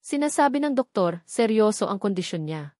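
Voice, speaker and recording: A woman's voice: medium pitch, sing-song, normal speed, mellow, no room sound, clean studio sound, natural voice. A woman with a Filipino accent voicing a hurt, guarded doctor and mother in a dramatic story.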